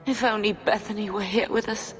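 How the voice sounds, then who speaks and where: A woman's voice: mid-range voice, steady tone, a little fast, close to an everyday voice. An actress playing an anxious, worried mother. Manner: anxious, doubtful. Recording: game audio with reverb and music.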